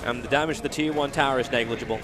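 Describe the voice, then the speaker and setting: A man with an Australian accent giving excitable play-by-play commentary on an esports match as caster. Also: rapid-fire, noisy background. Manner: excitable, rising pitch in big moments.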